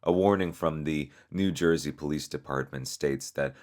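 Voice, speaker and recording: Super deep guy voice narrating a story, scripted read. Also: normal speed, darkish tone.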